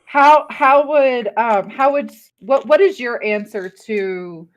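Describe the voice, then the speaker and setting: A woman's voice: on the high side, takes it slowly, muffled recording. Woman host asking casual questions. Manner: brief backchannel and questions. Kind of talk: casual interview chat.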